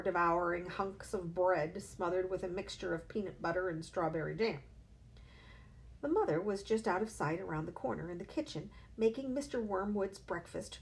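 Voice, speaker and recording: A woman, a teacher, reading a children's novel aloud with expressive storytelling. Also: medium pitch, creaky.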